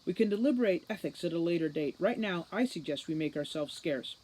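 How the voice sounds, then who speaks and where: Lady reader as fairy cop captain, brisk, calm and bossy but coaxing, storybook fantasy. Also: on the low side, slightly rough, rough recording. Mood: urgent, serious. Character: brisk, composed female fairy police captain. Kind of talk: audiobook children's fantasy character voice.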